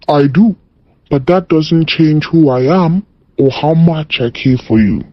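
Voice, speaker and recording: A woman voicing a gentle, earnest grown man in a narrated folktale, speaking softly and solemnly. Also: low voice, unhurried, no room sound, highs cut off, voice pushed down. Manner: soft, solemn.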